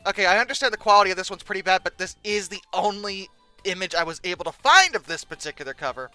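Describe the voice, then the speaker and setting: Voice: mid-range voice, expressive, fast, bright, forceful tone, clear, resonant tone, no echo, faint music behind. A video host giving casual, humorous commentary in a sarcastic, exasperated manner with dramatic emphasis.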